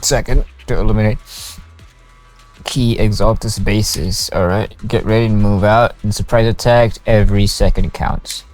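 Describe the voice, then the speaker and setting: A man doing casual game stream commentary, muttering short reactions and haltingly reading on-screen text aloud. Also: fairly low pitch, steady tone, a little mellow. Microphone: streamer microphone over game audio. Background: faint game music.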